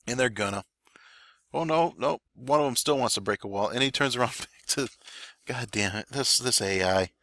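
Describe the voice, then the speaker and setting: A man commentating a strategy game war replay in a relaxed play-by-play, his voice hoarse and raspy today, with fillers and occasional coughs. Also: medium pitch, moderate pace, no echo, clean studio sound. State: voice getting raspy. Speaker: clan war recap commentator. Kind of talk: gameplay commentary.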